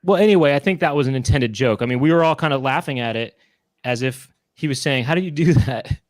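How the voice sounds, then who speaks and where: A man's voice: mid-range voice, quick pace, no room sound, clean studio sound. Chatty man hosting a live stream. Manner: rambling, chatty, self-correcting. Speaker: co-host. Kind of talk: casual live-stream chat. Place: small room at home. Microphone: handheld mic with headphones.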